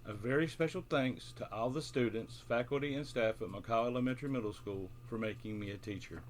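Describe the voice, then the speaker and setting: A man with a mid-pitched voice speaks to young children as a bedtime storytelling host. He addresses his listeners directly, in simple storybook language. Some noise sits behind the voice. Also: brisk. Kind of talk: friendly introduction, then read-aloud children's storytelling.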